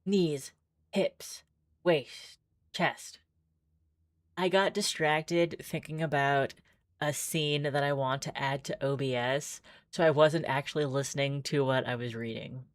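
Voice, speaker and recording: A woman with a low voice and a slow, measured delivery, recorded close and dry. She reads game text dramatically and drifts into casual, rambling asides as a streamer. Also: fairly even intonation, dry recording. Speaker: streamer playing visual novel demos. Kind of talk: casual livestream commentary mixed with dramatic read-aloud narration.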